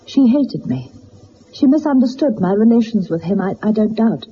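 An actress playing an earnest, distressed young governess who speaks formally and hesitantly in a radio drama. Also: female voice, medium pitch, animated, moderate pace, warm tone, muffled recording, a little hiss or hum, lightly acted. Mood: earnest, pained. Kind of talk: dramatised detective radio play.

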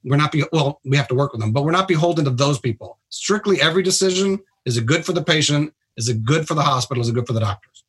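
A man's voice: a little high, expressive, quick pace, slight background noise. A man who is a hospital doctor, explaining things in a plain-spoken, informal way as a guest on a radio talk show. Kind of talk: casual explanatory radio interview.

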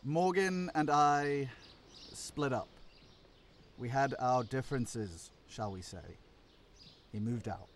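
An actor playing a weary adult son in an audio play, dry, halting and apologetic. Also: male voice, mid-range voice, a little hiss or hum.

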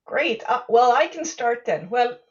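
A woman's voice: on the high side, expressive, a little slow, distant mic, lo-fi sound. A middle-aged woman from Sweden, telling a story in an easygoing way in a casual interview.

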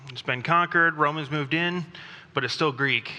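A man who grew up in Thailand, preaching a sermon in a conversational, self-deprecating way with pauses and restarts. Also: a little high, bright, forceful tone. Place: church sanctuary. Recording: long reverb of a church hall.

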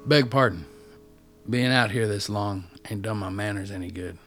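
An actor playing a reclusive, rough-mannered ex-addict loner with a wry, folksy way of talking in a radio drama. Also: male voice, lowish voice, normal speed, over music, natural voice. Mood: guarded, wry. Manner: folksy, plain-spoken, wry.

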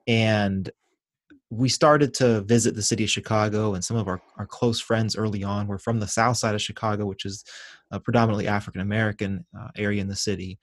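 A man who grew up in Venezuela and Ecuador, a pastor and author speaking as a guest in a podcast interview in an earnest, measured, reflective way. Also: on the low side, no room sound, clean studio sound.

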